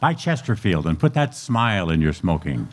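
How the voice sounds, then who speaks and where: A man's voice: low-pitched, moderate pace. A man playing a clipped, unflappable police sergeant in an old-time radio crime drama. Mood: smooth sales pitch. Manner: clipped, deadpan, firm. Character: terse, unflappable male police detective sergeant.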